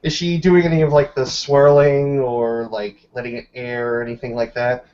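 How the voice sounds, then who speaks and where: A man's voice: medium pitch, level tone, normal speed, darkish tone, smooth, dull audio. A man improvising narration as game master of a tabletop roleplaying session.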